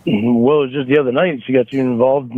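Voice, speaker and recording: A man arguing over the phone in a halting, defensive way, repeating himself, on a thin line. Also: medium pitch, steady tone, phone-quality sound. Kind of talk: phone argument. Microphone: phone call.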